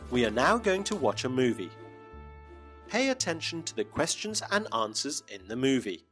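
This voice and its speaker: Very animated male English teacher. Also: mid-range voice, faint music behind. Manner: slow, clearly modeled phrases for repetition. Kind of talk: scripted lesson narration.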